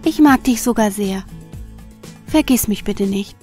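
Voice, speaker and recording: A woman voicing a cheerful, gentle young goddess in an amateur radio play, speaking softly and coaxingly. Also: medium pitch, a little slow, soft background music, close to an everyday voice. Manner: soft and coaxing.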